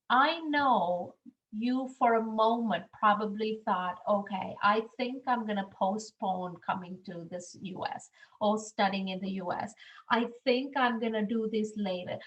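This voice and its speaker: A woman's voice: a little high, lo-fi sound. A middle-aged woman from Sri Lanka, a university admissions staff member, giving an earnest webinar presentation over a video call with frequent ums.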